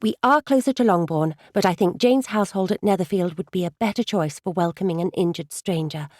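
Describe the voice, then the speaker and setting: A woman voicing a brisk, sensible young woman who takes charge in an audiobook, speaking urgently and earnestly. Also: on the low side, a little mellow, no room sound, clean studio sound, natural voice. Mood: commanding. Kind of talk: audiobook dialogue reading.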